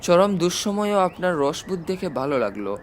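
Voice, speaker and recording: A man voicing a polite, worried, learned secretary in a deferential, measured way for an audiobook. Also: high-pitched, very animated, a little hiss or hum. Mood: politely uneasy. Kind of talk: audiobook character dialogue.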